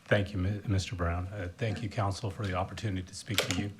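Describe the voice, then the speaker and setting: A man's voice: low-pitched, flat delivery, fast talker, very noisy. A man in his fifties from South Carolina, speaking as an airport manager to a county council in a polite, formal way with many restarts.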